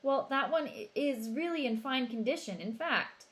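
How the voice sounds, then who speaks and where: A woman reading the part of a gruff, shady male shopkeeper who haggles curtly in a storybook. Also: high voice, expressive, moderate pace, fairly bright tone, voice pushed up. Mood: haggling. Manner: curt, salesman-like haggling. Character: shady, gruff male coin shop owner.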